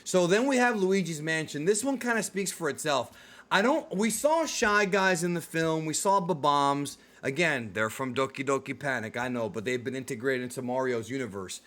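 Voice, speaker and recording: Homem de voz um pouco aguda, conversando. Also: male voice, fairly high pitch, expressive, moderate pace, a bit bright. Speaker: host of a film discussion show. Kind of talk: casual video podcast chat.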